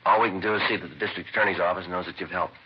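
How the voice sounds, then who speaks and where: An actor plays a businesslike radio detective with a very low voice and brisk pace. He is matter-of-fact and deadpan, stating things plainly in a clipped style. The sound is a muffled vintage broadcast. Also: slight background noise, natural voice. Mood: businesslike, pressing. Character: dry, businesslike male police detective. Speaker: actor playing the lead detective and narrator. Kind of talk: 1950s radio crime drama acting, clipped narration. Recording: dull vintage radio recording with music.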